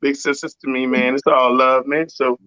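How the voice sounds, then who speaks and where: A man with a rough, raspy voice, a singer and producer talking casually in an interview and often saying "you know what I'm saying". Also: mid-range voice, little pitch movement, normal speed, bright, forceful tone, no echo, highs cut off. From Southern US.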